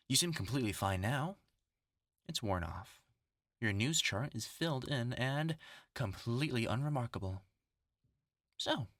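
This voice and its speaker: Man acting a menacing, sarcastic doctor, swinging pitch. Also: fairly bright tone, no room sound, clean studio sound, full character voice. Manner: taunting, mock-caring, swinging into angry swearing. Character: vengeful, sarcastic male doctor turned on his former research partner. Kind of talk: horror audio roleplay, second-person.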